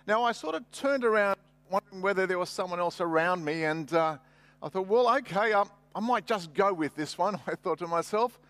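A man's voice: sits high, animated, a little fast, a bit bright, no room sound. A middle-aged man preaching as a pastor, conversational and emphatic on key phrases. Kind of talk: sermon with personal anecdotes.

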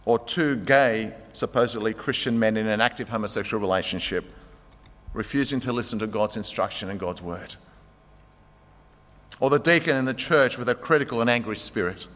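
A man's voice: on the low side, normal speed, fairly bright tone. A male pastor preaching a sermon in a church, emphatic and urging his listeners to act now. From Greek heritage. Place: church sanctuary. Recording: long reverb of a large hall.

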